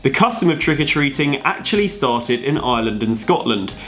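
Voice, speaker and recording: Dry male explainer with medium-pitched voice. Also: sing-song, brisk, a bit bright, soft background music. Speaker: video co-presenter. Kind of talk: scripted explainer narration with banter.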